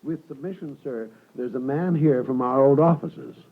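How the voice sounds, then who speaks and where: A man's voice: mid-range voice, normal speed, lightly acted. An actor playing a worried, deferential male law clerk in 1950s radio drama, hesitant, earnest and obsequious. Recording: thin, phone-like 1950s radio broadcast.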